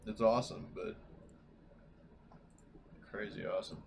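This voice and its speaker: A man's voice: on the low side, steady tone, a little slow, vocal fry. Male tabletop player, loose casual chatter with swearing, noticeable noise behind the voice. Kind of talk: casual chat.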